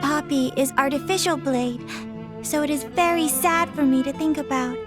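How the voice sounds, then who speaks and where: A woman's voice: sits high, moderate pace, close to an everyday voice. A woman voicing a naive, sincere little artificial girl in a video game, with a childlike manner. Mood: sincere sadness. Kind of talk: video game character acting. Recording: music behind the dialogue.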